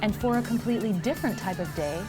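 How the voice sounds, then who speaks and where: A woman reporter reading scripted voice-over narration for a travel segment in a smooth, even delivery. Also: medium pitch, fast talker. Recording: music bed behind narration.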